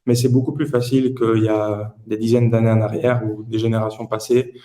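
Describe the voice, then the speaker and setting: Guy late 20s from the south, podcast guest, soft mellow tone, chatty interview answers. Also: on the low side, level tone, a little fast. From Toulouse, southern France. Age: late twenties.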